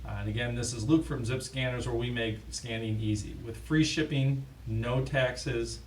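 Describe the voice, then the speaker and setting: A man's voice: mid-range voice, fairly even intonation, moderate pace. Guy doing a casual product demo, kinda far from the mic, bit of background noise. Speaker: host, product expert. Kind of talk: casual unscripted product demonstration.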